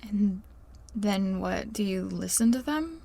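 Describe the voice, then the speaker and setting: A woman's voice: medium pitch, lively intonation, slow, creaky, dark tone, dry recording, full character voice. A woman acting as an exasperated, sardonic young woman in an audio drama, with a dry, casual way of talking. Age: young adult.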